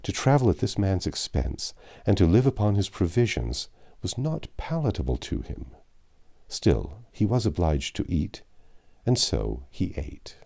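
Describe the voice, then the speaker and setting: A man with a low, dark voice reads a classic adventure novel aloud. His delivery is expressive and measured, with lively intonation and dramatically stretched words. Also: creaky. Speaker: audiobook narrator.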